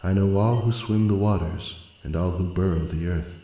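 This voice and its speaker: Storybook spirit of an enchanted pool, performed by a man: hushed, ethereal, serene and formal, lifted higher than natural speech. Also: normal speed, echoey room, slight background noise, lightly acted. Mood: solemn, mystical. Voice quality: ethereal, crystalline, soft.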